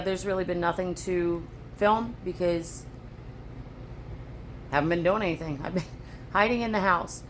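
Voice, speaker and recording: A woman chatting casually to camera about her homestead, her voice raspy from allergies, in a rambling, down-to-earth way. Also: medium pitch, slight background noise. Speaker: homesteading vlogger. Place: at home on a rural homestead. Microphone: phone camera, later on a tripod.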